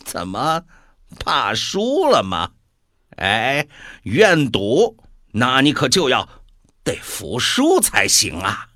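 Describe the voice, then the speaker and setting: A man's voice: medium pitch, wildly swooping pitch, pushed and bright, no room sound, studio quality, full character voice. A man acting a mocking, cruel supernatural gambler in a radio drama, drawing out his taunts. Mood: mocking, smug. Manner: drawn-out, taunting delivery.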